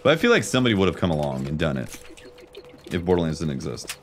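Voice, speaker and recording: A man's voice: rumbling low, sing-song, fast, a little hiss or hum. A man streaming a video game, chatting casually in an informal, slangy way as he muses and comments on the game. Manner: informal, slangy, conversational musing. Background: video game music.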